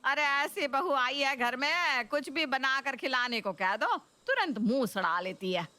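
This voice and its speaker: A woman's voice: sits high, lively intonation, measured pace, bright, forceful tone, no room sound, exaggerated voice. A woman voicing a nagging, demanding older mother-in-law in a Hindi cartoon story, complaining and taunting. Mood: sulky, taunting.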